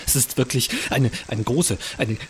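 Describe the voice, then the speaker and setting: An actor voicing an eager, fawning, polite cannibal office worker in a comic audio drama, gushing and stammering with awe. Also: male voice, mid-range voice, steady tone, moderate pace, a little hiss or hum, natural voice. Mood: eager, honored.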